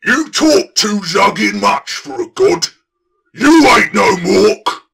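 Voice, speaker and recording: A man voicing a huge, boastful, thick-headed orc warlord with a raspy, gravelly voice and crude slangy speech in a fantasy audiobook. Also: high voice, sing-song, slow pace, a loud crowd, pitched above the performer's own voice, exaggerated voice. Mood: irritated.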